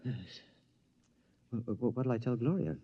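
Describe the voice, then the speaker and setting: Jittery stammering married man, pitch jumps around, 1950s radio acting, dry sound. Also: expressive, unhurried, breathy edge, dry recording, lo-fi sound. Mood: bewildered. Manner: stammering, anxious. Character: nervous, dithering, easily shocked married man.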